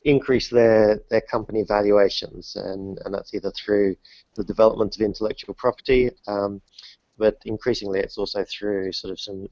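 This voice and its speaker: A man's voice: lowish voice, darkish tone, no echo. A man in his thirties who grew up in the UK, answering interview questions in a casual, hesitant way with many restarts. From New Zealand, grew up in the UK. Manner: hesitant, many restarts and fillers, self-deprecating. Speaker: guest entrepreneur. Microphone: remote call, dull lo-fi and dry. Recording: dull dry online call audio.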